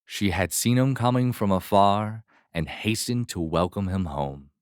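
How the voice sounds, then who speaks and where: A man narrating a scripted fairy tale as a storyteller, measured, expressive and dramatic. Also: lowish voice, clean tone, no echo, studio quality.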